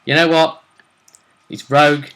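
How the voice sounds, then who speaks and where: A man's voice: on the high side, level tone, measured pace, fairly bright tone. A man hosting a casual role-play tournament chat, building up the fighters with teasing drama. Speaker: host of a tabletop role-play vidcast.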